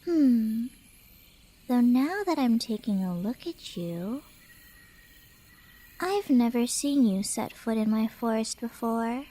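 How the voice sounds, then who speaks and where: Girl voice actor doing a playful cheshire cat girl, slow asmr roleplay, high voice, teasing and slangy. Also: animated, warm tone. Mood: musing, inquisitive.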